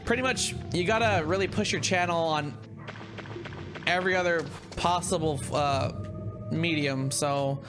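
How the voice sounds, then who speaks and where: A man streaming a game and chatting casually, thinking aloud in a halting way. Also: fairly high pitch. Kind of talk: casual livestream chat. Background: loud music.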